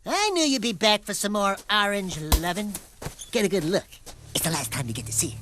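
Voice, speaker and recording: A man's voice: high voice, expressive, fairly quick, music in the background. A man playing a cocky, wisecracking little furry animal sidekick in a video game, brash and sassy. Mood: taunting. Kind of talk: video game cutscene acting.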